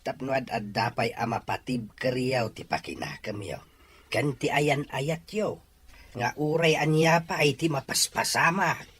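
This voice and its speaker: A man's voice: medium pitch, measured pace, a bit bright, slight background noise, close to an everyday voice. A man playing a patient father who consoles and counsels a heartbroken child in an audio drama. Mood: gently reproving. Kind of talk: Ilocano audio drama acting.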